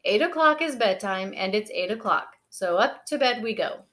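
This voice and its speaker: A woman's voice: medium pitch, lively intonation, moderate pace, fairly bright tone, lightly acted. A woman voicing a firm, fatherly bear who grumbles but plays along, in a children's picture-book read-aloud. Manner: firm, fatherly, groaning when put upon.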